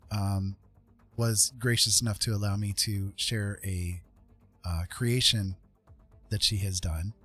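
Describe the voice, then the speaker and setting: A man hosting a livestream in casual chat, talking in a rambling, halting way and often saying "you know". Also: fairly low pitch, dry recording. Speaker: livestream host.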